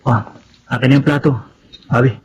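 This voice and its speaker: Touchy, easily discouraged Filipino son in his twenties for radio drama, medium pitch, faint noise male actor. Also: fairly even intonation, brisk, natural voice, rough recording. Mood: teasing. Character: touchy, discouraged 25-year-old son and older brother.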